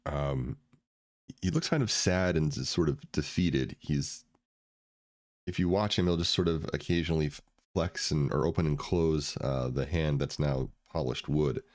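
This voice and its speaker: A man running a tabletop game as game master, narrating with hesitant pauses and fillers. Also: a bass voice, darkish tone, dry recording, clean studio sound.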